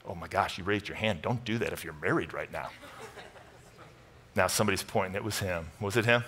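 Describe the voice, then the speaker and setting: A middle-aged American man preaching a conversational sermon, emphatic and animated, moving between loud bursts and hushed asides. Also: deep voice, fairly quick, a little hiss or hum. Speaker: pastor preaching. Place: large church auditorium stage with audience.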